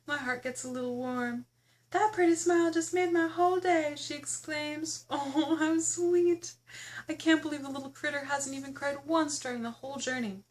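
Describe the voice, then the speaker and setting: Theatrical, higher-voiced woman reading her memoir. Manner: theatrical, playful, exclamatory storytelling. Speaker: author reading own memoir. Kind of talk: expressive first-person audiobook-style narration.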